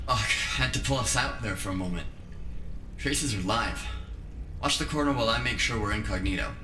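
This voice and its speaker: A man's voice: fairly low pitch, normal speed, a little hiss or hum. Cocky, echoey cyberpunk hacker played by a man. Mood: urgent. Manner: breezy, confident showman. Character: cocky, thrill-seeking hacker guide, easygoing amid danger. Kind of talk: cyberpunk audio drama acting. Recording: echoey, with loud music behind.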